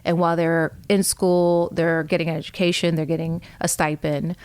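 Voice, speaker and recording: A woman's voice: low voice, little pitch movement, moderate pace, a little mellow, clean tone, dry recording. A woman in her forties from New York City, a native Spanish speaker, speaking earnestly and reflectively as an interview guest. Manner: reflective, earnest, many fillers. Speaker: guest, fire chief. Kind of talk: casual interview conversation.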